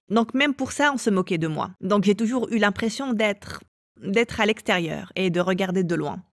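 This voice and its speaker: A woman's voice: mid-range voice, no room sound, studio quality. A woman from England telling her own life story as an interview guest. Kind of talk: personal testimony in an interview.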